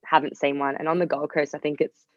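A woman's voice: low voice, level tone, quick pace, no room sound, muffled recording. A young Australian woman speaking as a podcast guest in a casual, candid way, rambling and often saying "like". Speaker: podcast guest, singer-songwriter. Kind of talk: casual conversational interview.

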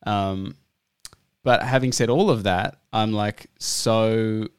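An Australian man hosting a podcast, talking in a relaxed, thoughtful way with plenty of fillers. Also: fairly low pitch, takes it slowly, clear, resonant tone, dry recording, clean studio sound. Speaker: podcast host. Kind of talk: casual interview chat.

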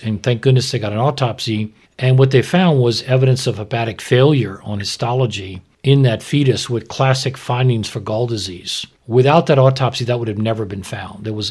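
A middle-aged American man, a doctor, explaining medical matters informally in a frank, measured way. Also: medium pitch, breathy edge. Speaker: maternal fetal medicine doctor, podcast expert.

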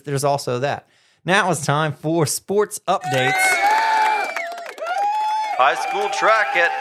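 Joking guy hosting a school radio show, lively voice. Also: on the high side, very noisy. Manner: chatty, joking.

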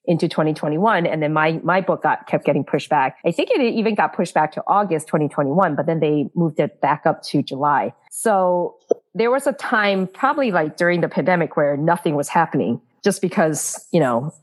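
Woman, from Taiwan, author being interviewed, low voice, chatty, fillers. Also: expressive, normal speed. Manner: chatty, frequent fillers and laughs. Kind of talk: casual interview answers.

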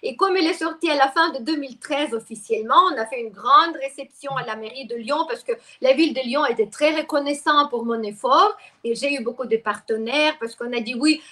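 High-voiced woman. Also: brisk, hardly any pauses, pushed and bright. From Ukraine. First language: Russian. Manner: talkative, many restarts and fillers. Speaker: guest expert. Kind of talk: interview conversation.

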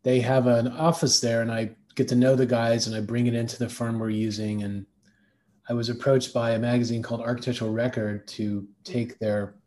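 A man's voice: mid-range voice, flat delivery, a little fast, darkish tone. A man with a mid-range voice tells a long, rambling anecdote during an interview. He is a design agency co-founder and keeps circling back with fillers and restarts. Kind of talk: conversational interview answers.

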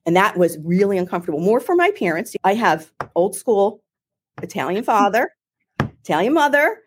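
A middle-aged woman with a Philadelphia accent, telling her career story in an interview in a chatty, animated way with many restarts. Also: medium pitch, normal speed, noisy background. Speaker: marketing executive, guest. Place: home or office in Ohio, remote call.

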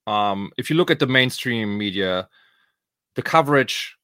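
A man's voice: on the low side, animated, a little slow, pushed and bright, smooth, dry recording, studio quality. A middle-aged man from Germany, a native German speaker with a German accent, co-hosting a podcast in casual, loose, colloquial chat. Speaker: podcast co-host.

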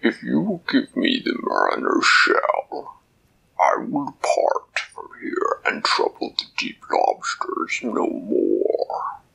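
A man voicing a deep burbling sea kraken. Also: huge pitch swings, vocal fry, bright, forceful tone, slightly rough, full character voice, low-quality recording. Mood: ominous, scornful. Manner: slow, drawn-out, condescending speech. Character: huge ancient male kraken, slow and menacing.

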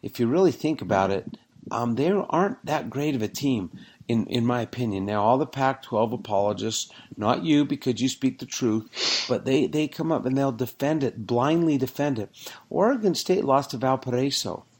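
A man's voice: medium pitch, animated, moderate pace, darkish tone, husky. A man co-hosting a casual sports podcast, rambling and joking, getting worked up over team records. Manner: rambling, jokey, gets worked up over stats. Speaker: podcast co-host.

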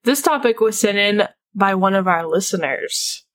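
A woman's voice: medium pitch, lively intonation, moderate pace, a bit bright. Stuffy young woman hosting a podcast. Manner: chatty, playful, laughs often. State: stuffy from a head cold. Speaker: podcast host. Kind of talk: casual podcast chat.

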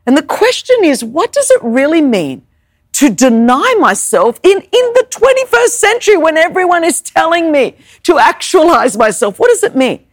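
A woman's voice: high-pitched, very animated, moderate pace, dry recording, studio quality. A woman whose first language is Greek, preaching an emphatic sermon with rhetorical questions and repeated words. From Greek Orthodox family background.

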